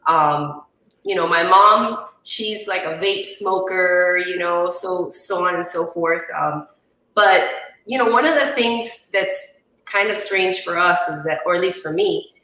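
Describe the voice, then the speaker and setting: A woman's voice: fairly low pitch, a little slow, sharp tone. A middle-aged woman from Chile who grew up in Miami, chatting casually and telling personal stories as a show guest. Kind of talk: casual chat, personal storytelling. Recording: roomy echo, distant from mic.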